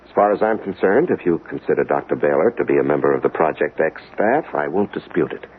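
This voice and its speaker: On thin vintage radio audio, a methodical government man with a low voice. His intonation moves around a good deal, while his wording stays precise and bureaucratic. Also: lively intonation, quick pace. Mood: dry, efficient. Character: reserved, methodical male government investigator. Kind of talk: 1970s radio drama acting. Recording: lo-fi old radio broadcast, faint noise.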